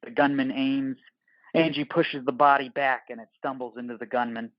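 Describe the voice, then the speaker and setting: A man's voice: medium pitch, steady tone, moderate pace, no room sound. Terse male narrator, dry muffled sound. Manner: terse, dramatic, clipped phrases. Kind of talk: scripted narration of action in an audio play.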